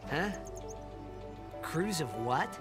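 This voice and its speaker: A man's voice: fairly high pitch, big pitch swings, drawn-out words, exaggerated voice. A man voicing a cocky, eager teenage sports star in a video game, brash and emphatic. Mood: curious, eager. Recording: game audio with music over it.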